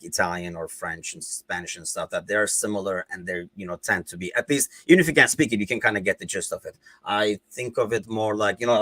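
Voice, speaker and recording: A man with a Turkish accent talks through football news in a loose, rambling way, leaning on lots of "you know" and "like" fillers. His voice sits a little low, and the recording is dry and close with no room sound. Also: a bit bright, no echo. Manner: rambling, conversational, many fillers. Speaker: podcast host. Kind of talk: casual football chat.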